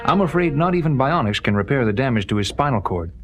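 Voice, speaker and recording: A mid-pitched man's voice , played by an actor for a composed government official, firm and authoritative. His delivery moves with lively pitch, and a loud music bed plays under his lines. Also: fast, close to an everyday voice. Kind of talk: 1970s audio drama acting.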